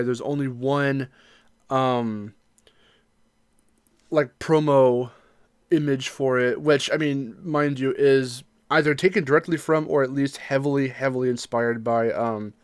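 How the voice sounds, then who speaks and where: A man's voice: mid-range voice, clear, resonant tone, no echo. Man chatting on a podcast, dry close sound. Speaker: podcast co-host. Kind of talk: casual podcast discussion.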